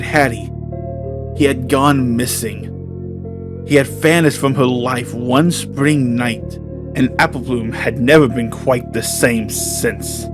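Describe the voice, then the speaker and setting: A man reading scripted horror fiction as narrator in a measured, solemn storytelling manner. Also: mid-range voice.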